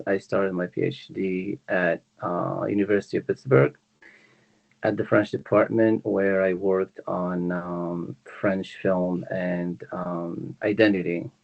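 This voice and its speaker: Male academic guest, Moroccan accent, gravelly lowish voice, conversational, slightly dull recording. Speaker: guest, university language professor. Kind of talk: conversational interview answers.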